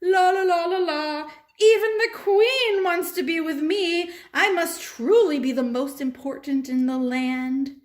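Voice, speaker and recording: Woman acting a scheming male villain, high pitch, slow pompous delivery, commanding, boastful, children's puppet show. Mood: self-satisfied. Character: boastful, vengeful male villain, a royal advisor.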